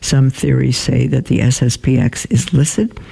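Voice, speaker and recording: Gravelly, dark-voiced man reading letters. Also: medium pitch, steady tone. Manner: halting, many fillers and restarts while reading. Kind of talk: reading and answering listener emails.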